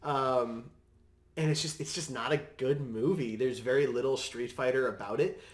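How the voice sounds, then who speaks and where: A man's voice: mid-range voice, normal speed. A man hosting a podcast, talking casually about movies and games. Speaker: podcast host. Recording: roomy, echoing room sound.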